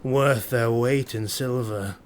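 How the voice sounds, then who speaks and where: Man acting as a sardonic dark elf lord. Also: medium pitch, slight background noise, lightly acted. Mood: bitter. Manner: sardonic, slow muttering delivery. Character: proud, cruel, sardonic dark elf highborn raider lord.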